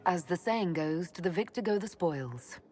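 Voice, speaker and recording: An actress plays a weary female elf leader in a fantasy game. Her voice is a bit low, her delivery understated and restrained. Light music sits underneath. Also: lively intonation, moderate pace, a little mellow, close to an everyday voice. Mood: subdued. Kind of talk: video game voice acting.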